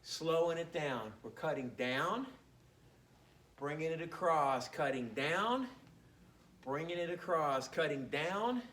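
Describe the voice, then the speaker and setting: A man teaching a martial arts class on camera, casual and joking as he demonstrates the moves. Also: high-pitched. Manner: relaxed, joking, talks while demonstrating. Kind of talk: casual instructional demo. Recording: echoey room, faint background noise.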